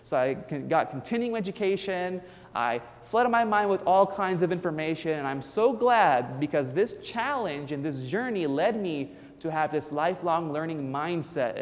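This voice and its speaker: A man in his thirties with a fairly high voice gives an earnest motivational talk. He speaks emphatically, now and then breaking off to restart a word. The sound carries a long hall reverb. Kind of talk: inspirational speech.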